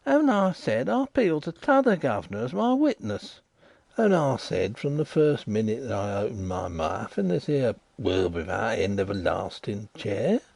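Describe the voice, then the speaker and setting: A man puts on a shifty waterside informer who whines and insists, his voice jumping high with indignation. The sound is dry and close. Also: sing-song, moderate pace, vocal fry, a little mellow, slightly rough, no echo, heavily acted. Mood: insistent. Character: shifty, whining riverside informer, middle-aged man.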